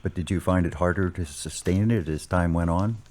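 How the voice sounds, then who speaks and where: A man as a deep-voiced, patient parish priest. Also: a little mellow, close to an everyday voice. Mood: probing. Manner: measured, counselling, formally worded. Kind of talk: amateur audio drama acting.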